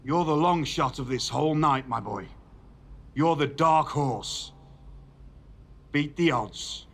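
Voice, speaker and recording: A stern older wrestling boss for a video game cutscene. His voice sits a little high for a man, and he talks deliberately and formally, like someone giving firm guidance to a junior. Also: a bit bright, full character voice. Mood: encouraging. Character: stern, measured older male authority figure, wrestling show boss.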